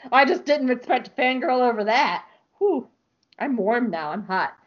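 Gushing, excitable woman podcaster. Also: a little high, measured pace. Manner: gushing, excitable, swears and laughs often. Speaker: podcast host. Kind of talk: casual podcast chat. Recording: dull lo-fi sound.

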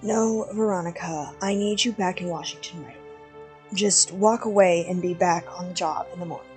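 A woman's voice: fairly low pitch, normal speed, a little mellow, faint music behind, close to an everyday voice. A woman playing a firm, authoritative boss giving orders in an audio drama. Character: commanding female boss issuing brisk orders.

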